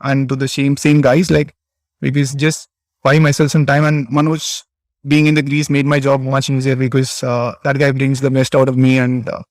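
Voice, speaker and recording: This is a young man with a Tamil-region Indian English accent, speaking at a middle pitch for a man. He answers interview questions in a halting, casual way, full of "uh" and "like" and restarting his sentences. The sound is dry and close. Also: little pitch movement, dry recording. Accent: Indian English, Tamil Nadu. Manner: hesitant, many restarts and fillers. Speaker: interview guest, cricket player.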